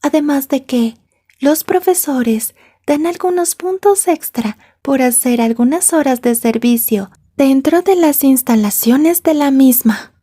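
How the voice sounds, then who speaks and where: A woman playing a possessive, jealous yandere schoolgirl in an ASMR roleplay, soft and breathy, taunting and slipping into whispers. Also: high-pitched, expressive, a little slow. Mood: sinister, taunting.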